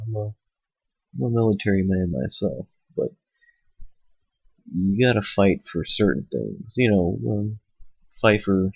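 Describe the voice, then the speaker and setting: A man's voice: on the low side, vocal fry, a little mellow, husky, no echo. A man with a cold and a stuffed-up nose hosting his own show, ranting bluntly and profanely, rambling with lots of fillers. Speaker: host. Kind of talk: ranting monologue. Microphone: cheap microphone. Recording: lo-fi home setup.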